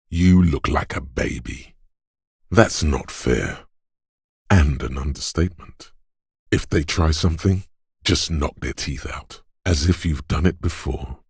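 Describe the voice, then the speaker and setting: A man playing a gruff, domineering inner voice of brute strength in a video game, blunt and deliberate in delivery. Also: darkish tone, dry recording, clean studio sound, heavily acted. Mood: scornful. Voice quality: gravelly, husky bass. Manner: blunt, domineering gym-coach goading mixed with slow literary narration. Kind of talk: video game voice acting, scripted narration.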